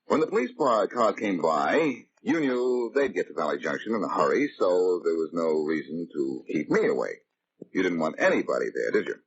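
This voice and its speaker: An actor playing a brash, confident young amateur detective with a glib, sardonic American delivery in a 1940s radio drama. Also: male voice, low-pitched, big pitch swings, normal speed, breathy edge, full character voice. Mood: shrewd, reasoning. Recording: muffled, noisy old radio recording.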